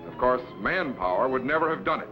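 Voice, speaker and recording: An actor playing a commanding, scholarly time-machine captain in a crisp, authoritative 1950s science fiction serial style. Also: male voice, medium pitch, animated, a little fast, close to an everyday voice. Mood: instructive. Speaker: captain and mentor. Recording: dull vintage broadcast with loud music.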